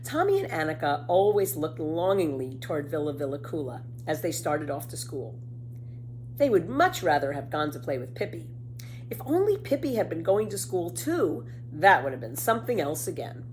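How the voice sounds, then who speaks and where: A woman narrating a children's book expressively, with deliberate emphasis. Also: deep voice, big pitch swings, a little hiss or hum, low-quality recording. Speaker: storybook reader.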